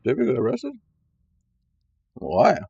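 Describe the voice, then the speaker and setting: A man's voice: high voice, wildly swooping pitch, slow pace, vocal fry, darkish tone, breathy edge, no echo. A man streaming casually, reacting off the cuff in short remarks into a close, slightly dull mic. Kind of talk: casual off-the-cuff reactions while watching videos. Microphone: close, dry mic.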